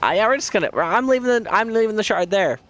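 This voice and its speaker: Hoarse, rambling male game streamer. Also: high-pitched, animated, quick pace, fairly bright tone. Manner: rambling, self-mocking, joking with viewers. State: hoarse, losing his voice. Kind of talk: casual chat while playing.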